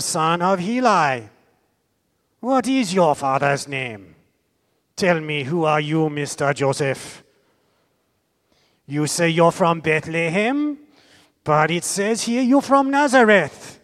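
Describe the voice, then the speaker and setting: A man doing a loud suspicious border guard. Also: a little high, expressive, takes it slowly, pauses often, voice pushed up. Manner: interrogating, mocking, acquisitive.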